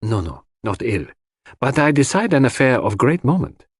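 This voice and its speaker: A man's voice: medium pitch, big pitch swings, normal speed, dry recording, clean studio sound. A man playing a grave, theatrical older foreign detective, speaking with solemn, formal foreign phrasing in an audiobook. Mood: grave, dramatic.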